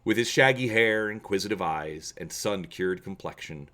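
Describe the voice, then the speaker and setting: A man hosting a gaming channel, explaining to viewers and reading aloud dramatically with expressive emphasis. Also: lowish voice, normal speed.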